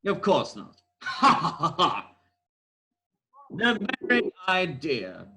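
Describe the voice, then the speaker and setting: A man's voice: on the high side, huge pitch swings, slow pace, sharp tone, exaggerated voice. A man playing a bossy, clever detective in a comic amateur radio play, with a grand, declamatory delivery. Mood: mocking.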